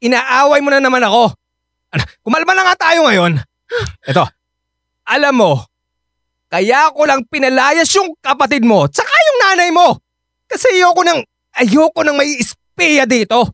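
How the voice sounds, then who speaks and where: An actor playing a hot-headed, manipulative husband who argues and wheedles in a radio drama. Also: male voice, extremely high pitch, big pitch swings, normal speed, long pauses, bright, forceful tone, dry recording, clean studio sound, full character voice. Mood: defensive wheedling. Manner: argumentative, wheedling.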